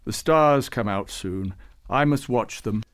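A man voicing a gruff, impatient old wise man who roars his refusals. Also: lowish voice, takes it slowly. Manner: roaring, impatient.

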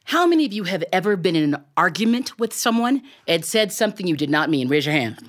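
A woman's voice: on the low side, big pitch swings, quick pace. An American woman telling a story live to an audience in a colorful, playful, theatrical way. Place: recorded live in a radio studio before an audience.

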